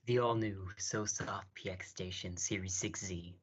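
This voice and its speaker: A man's voice: low voice, steady tone, unhurried, breathy edge. A man playing a salesy, emphatic commercial announcer in a parody radio advertisement. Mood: hyping. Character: hyped-up commercial announcer selling a game console.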